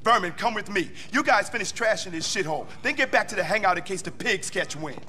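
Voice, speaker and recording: A man's voice: sits high, lively intonation, quick pace, bright, forceful tone, slight background noise. A man playing a determined street gang warlord in a video game, commanding, streetwise and urgent. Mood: stern. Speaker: gang leader. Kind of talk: gritty video game cutscene acting. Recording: game dialogue over music.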